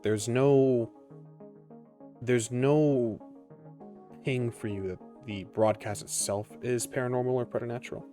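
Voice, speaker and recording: Low-voiced man narrating a tabletop game. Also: a little mellow. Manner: halting, deliberate, many pauses. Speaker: game master. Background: background music.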